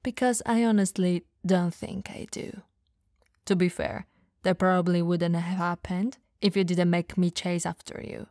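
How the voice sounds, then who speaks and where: A woman playing an elegant, dominant vampire lady who teases flirtatiously yet caringly in an ASMR roleplay, softly and breathily. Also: fairly low pitch, steady tone, no echo, clean studio sound. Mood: smug, amused. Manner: soft, breathy, slow teasing delivery, often dropping to whispers.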